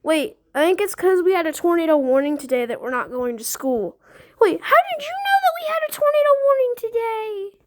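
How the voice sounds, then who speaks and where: A performer voicing excitable, bickering schoolkids at play in improvised dialogue, with casual, teasing slang and exclamations. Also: extremely high pitch, a little fast. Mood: eager, competitive. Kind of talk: playful improvised character dialogue.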